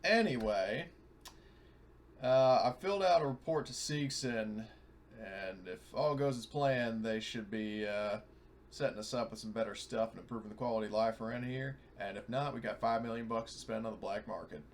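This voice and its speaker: Halting man in a noisy recording. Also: on the low side. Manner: stammering, halting. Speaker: tabletop roleplaying player. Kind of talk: casual in-character roleplay chat. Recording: noticeable background noise.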